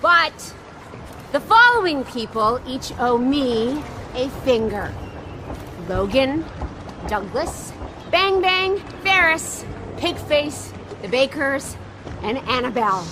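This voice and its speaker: An actress playing a brash, sardonic gang boss in a video game, taunting and menacing. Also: female voice, high-pitched, sing-song, slow pace, heavily acted. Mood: gleeful menace. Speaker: voice actor. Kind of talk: video game cutscene acting. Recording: clearly noisy, engine-like background.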